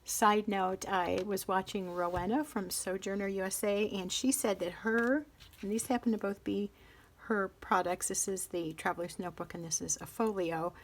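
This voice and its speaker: A woman's voice: mid-range voice, steady tone, normal speed, low-quality recording. A middle-aged woman vlogging about planners in casual show-and-tell chat, rambling and interrupting herself as she handles notebooks.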